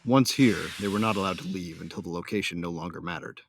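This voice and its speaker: A man's voice: low voice, quick pace, noisy background. A man playing a devoted young starship captain, narrating his own story in a science fiction audio drama. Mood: earnest, tender. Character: young newlywed starship captain, loyal son of smugglers, devoted husband. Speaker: first-person narrator and character.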